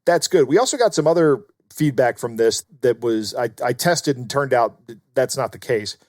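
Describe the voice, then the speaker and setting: Man, American accent, podcast co-host, casual talk and read-aloud, medium pitch, dry sound. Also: lively intonation, normal speed, darkish tone, no room sound. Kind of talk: casual chat and reading out listener mail.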